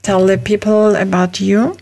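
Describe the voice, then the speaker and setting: A woman's voice: mid-range voice, flat delivery, unhurried, creaky, darkish tone. A woman from Austria, a native German speaker with an Austrian German accent, hosting a radio talk show in careful, hesitant English.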